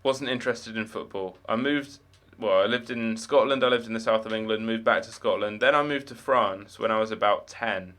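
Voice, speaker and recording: A man's voice: fairly low pitch, fairly quick, few pauses, fairly bright tone. Chatty young man, rambling casually. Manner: rambling, chatty, many fillers and restarts. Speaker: streamer. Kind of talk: casual live-stream chat.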